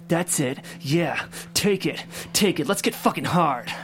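A man's voice: fairly high pitch, lively intonation, a little slow, slight background noise. A man voicing a vain, volatile, desperate bodybuilder in a comedic script read, intense and profane. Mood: euphoric.

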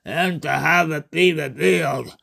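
A man performs a calm, condescending tentacled alien from a horror story. The creature speaks very slowly and deliberately, with a gravelly creak. Each phrase is drawn out as if lecturing a lesser species. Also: on the high side, heavily acted. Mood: gloating, menacing. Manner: slow, deliberate, drawn-out delivery. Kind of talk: horror short story character voice.

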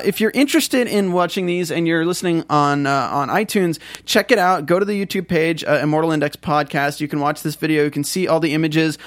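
High-voiced chatty male podcast host. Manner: chatty, with frequent fillers and restarts. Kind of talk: casual podcast interview.

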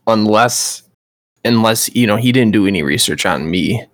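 A man's voice: on the low side, lively intonation, normal speed, a bit bright, dry recording, clean studio sound. A man co-hosting a podcast in casual chat, rambling with lots of fillers. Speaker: podcast co-host.

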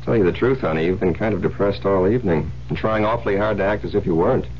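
A muffled old radio recording, faintly humming, features an actor playing a psychiatrist husband with a low voice. He talks conversationally, probing and needling his wife in old-time radio drama style. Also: fast talker, slight background noise, natural voice. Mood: probing, affectionate. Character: smooth-talking, probing psychiatrist husband Tom, quietly menacing.

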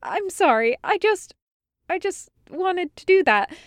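A woman's voice: high-pitched, lively intonation, a little slow, no room sound, studio quality, pitched above the performer's own voice. A woman voicing an innocent, anxious young woman in a story, flustered, hesitant and eager to please. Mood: apologetic. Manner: flustered, hesitant, literal-minded.

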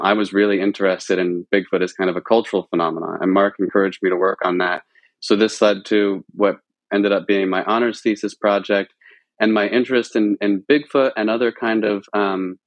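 Lowish American man, close dry sound. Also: no echo, poor audio. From central Pennsylvania, United States. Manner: informal, discursive, self-correcting. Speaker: podcast guest, religion researcher. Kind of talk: conversational interview answers.

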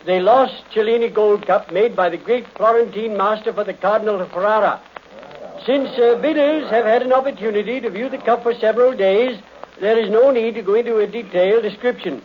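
An actor playing a flustered, fussy auctioneer with hesitant, formal speech in an old radio play. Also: male voice, high voice, a little fast, lightly acted. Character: flustered, fussy male auctioneer pleading for order. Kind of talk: old-time radio acting. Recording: muffled noisy radio recording, crowd behind.

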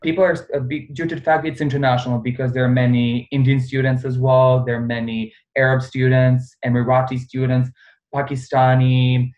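A man's voice: medium pitch, level tone, a little fast, darkish tone, dull audio. A man in his twenties from Bosnia, speaking English with a Bosnian accent as an interview guest, earnest and full of phrases like "truth be told". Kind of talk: casual interview.

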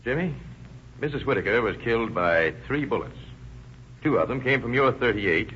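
An actor playing a shrewd, plain-spoken police detective in an old-time radio crime drama, questioning firmly and calmly. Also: male voice, mid-range voice, normal speed, natural voice. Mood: firm, pressing. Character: calm, shrewd male police detective, probing and firm. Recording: muffled, noisy vintage radio recording.

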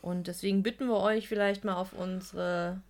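Female podcast co-host, medium pitch, informal, chatty, plain-spoken. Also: fairly even intonation, normal speed. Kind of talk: casual chat about audio dramas.

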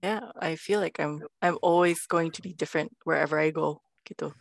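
A woman's voice: sits low, a little fast, gravelly, no echo. A woman in her thirties, a podcast guest chatting casually, switching between English and Indonesian as she tells stories. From Philippines. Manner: mixes English and Indonesian, animated storytelling. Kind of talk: casual chat.

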